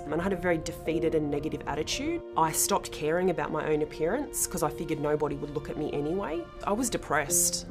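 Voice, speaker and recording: An Australian woman giving a candid, plain-spoken personal testimonial. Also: sits low. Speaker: fitness challenge participant. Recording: clear background music.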